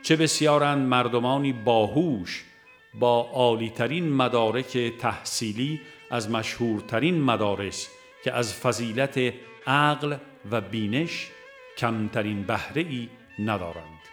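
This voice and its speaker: A man narrates a religious audiobook in a formal, solemn register, with a mid-pitched voice. His phrasing is measured and deliberate. Soft plucked-string music plays faintly behind him.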